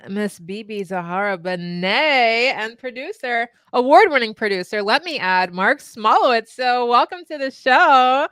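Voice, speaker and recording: A co-host of a live web show, speaking from a home studio, bubbly and enthusiastic. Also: female voice, mid-range voice, a bit bright, clear, resonant tone, dry recording.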